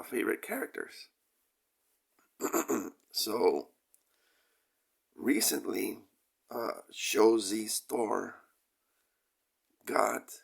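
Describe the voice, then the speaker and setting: A man getting over a cold, with a crackly voice, hosting a casual toy review in a hesitant, rambling way with frequent pauses. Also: fairly low pitch. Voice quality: crackly, gravelly. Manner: hesitant, rambling, pausing often, frequent throat clearing. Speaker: toy review channel host. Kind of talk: casual product review talk.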